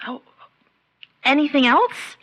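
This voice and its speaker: An actress playing a formal, composed radio news anchor in a comedic radio drama, with a slightly dull recording. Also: female voice, high-pitched, very animated, slow, fairly bright tone, heavily acted.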